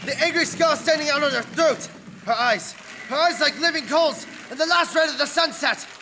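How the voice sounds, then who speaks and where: A man's voice: extremely high pitch, moderate pace, noisy background. An actor playing a shaken young husband narrating horror he witnessed, intense and dramatic, in a radio play. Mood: haunted.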